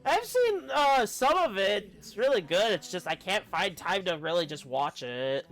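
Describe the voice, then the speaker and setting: A man's voice: sits high, sing-song, measured pace, fairly bright tone. A man giving casual live gameplay commentary as a streamer, chatty and reactive. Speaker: game streamer. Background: game music.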